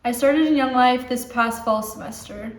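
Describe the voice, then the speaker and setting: Sincere young woman, slightly high voice. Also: moderate pace. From Indiana. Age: young adult. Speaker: youth ministry student. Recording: echoey room.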